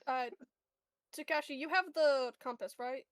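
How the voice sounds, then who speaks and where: A woman's voice: sits high, fairly even intonation, normal speed, no room sound. A woman playing a tabletop game, chatting casually and hesitantly as she improvises what her character says and does. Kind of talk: casual improvised role-play chat.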